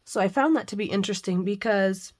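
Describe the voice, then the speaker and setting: A middle-aged woman, hoarse from the flu, chatting casually and rambling a bit as she hosts a faith and crafting video at home. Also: medium pitch, a little fast, a little mellow. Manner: chatty, rambling with frequent fillers. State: hoarse, recovering from flu with a cough. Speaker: craft channel host. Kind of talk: casual devotional and crafting chat.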